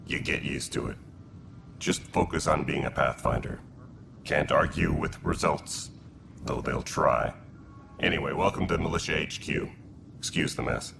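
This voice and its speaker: A seasoned alien militia officer in a sci-fi game. His voice is extremely low and heavily gravelly, with a dry, easygoing delivery. Loud background noise runs behind it. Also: measured pace, long pauses, heavily acted. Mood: wry, welcoming. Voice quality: very deep, gravelly. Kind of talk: video game dialogue acting.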